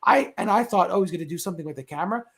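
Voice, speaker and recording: Man, fairly high pitch, casual panel chat, animated retelling, acted-out reactions. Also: racing. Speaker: discussion show panelist. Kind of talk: casual movie discussion chat.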